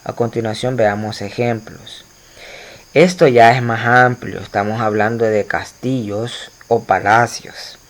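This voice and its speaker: A man lectures his students through a slide presentation, his voice sitting at an ordinary middle pitch. He explains patiently, stopping for fillers and correcting himself as he goes, and addresses the class directly. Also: fairly even intonation, unhurried. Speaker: teacher.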